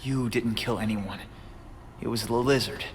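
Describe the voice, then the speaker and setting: An actor with an American accent voicing a young, wisecracking, earnest superhero in a video game. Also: male voice, fairly low pitch, unhurried, long reverb tail, slight background noise, natural voice. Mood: reassuring. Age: young adult. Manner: quippy, casual, earnest.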